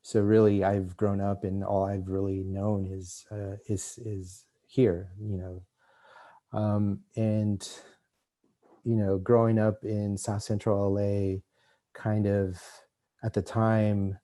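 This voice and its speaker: A middle-aged man raised in Los Angeles giving an art lecture, halting with many restarts and fillers. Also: on the low side, little pitch movement, warm tone. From Mexico, raised in Los Angeles. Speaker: visiting artist lecturer.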